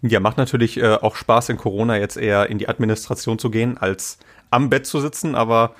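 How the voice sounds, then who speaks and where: A man's voice: mid-range voice, steady tone, a little fast. A young man hosting a podcast interview in a casual, chatty, joking way, with frequent filler sounds. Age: young adult.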